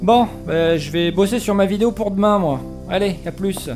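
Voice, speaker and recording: A man's voice: high voice, normal speed, music in the background. A man playing a grumbling, reluctant holidaymaker in an amateur audio drama, casual and sardonic.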